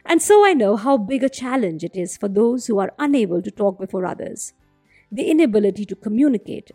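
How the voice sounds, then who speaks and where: A woman storyteller hosting a folktale show, narrating and commenting expressively with dramatic, deliberate phrasing. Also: fairly high pitch, a little mellow, breathy edge, no room sound, studio quality. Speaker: storyteller and podcast host.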